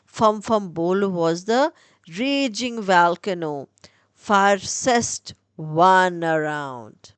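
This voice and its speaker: Female children's story narrator: theatrical, lively intonation, unhurried deliberate phrasing of rhymed verse. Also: on the low side, clean tone, dry recording. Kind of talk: scripted rhyming storybook narration.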